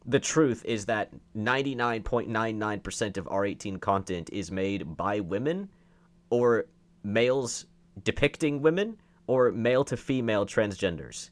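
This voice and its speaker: A man with a slightly low voice delivers opinionated commentary. He argues emphatically, repeating key phrases for weight and throwing in rhetorical questions as he reacts to what he reads. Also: measured pace. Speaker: online commentator. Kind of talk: opinionated commentary, reading and reacting to online posts.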